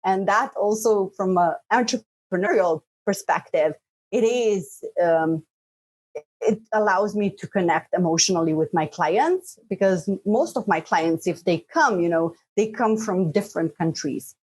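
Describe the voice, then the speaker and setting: A middle-aged woman from Slovenia whose first language is Bosnian, telling her story as a podcast guest in a lively, rambling way, full of fillers and laughs. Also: mid-range voice, a little slow, slightly rough. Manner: lively, frequent "like" and "you know" fillers, laughs often. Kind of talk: casual interview talk.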